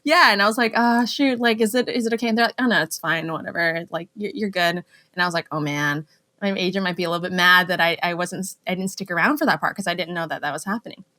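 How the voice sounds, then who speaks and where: A young actress from Canada, chatting as an interview guest, telling lively anecdotes with fillers and laughs. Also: female voice, on the low side, quick pace. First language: Cantonese. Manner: chatty storytelling, laughs often, many fillers. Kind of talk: casual interview chat.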